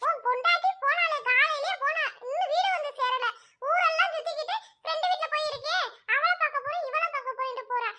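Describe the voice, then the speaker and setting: Brief: scolding cartoon mother-in-law, very high and quick, voiced by a woman. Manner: nagging, calls out loudly. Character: scolding old mother-in-law, sharp-tongued. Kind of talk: cartoon voice acting.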